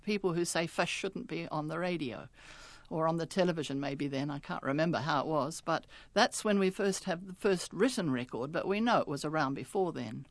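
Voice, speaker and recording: A woman's voice: fairly low pitch, dry recording. An older woman with a New Zealand accent, a retired linguistics professor talking chattily in a radio interview, laughing often and restarting words.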